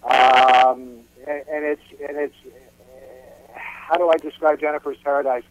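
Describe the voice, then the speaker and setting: A man's voice: on the high side, fairly even intonation, a little slow, highs cut off. A man speaking as a musician guest in a casual interview, repeating words as he explains.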